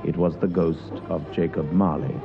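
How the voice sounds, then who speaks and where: A man's voice: a bass voice, fairly even intonation, moderate pace, gravelly. A man narrating a scripted story dramatically in the style of an old radio broadcast. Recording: muffled vintage radio broadcast with music.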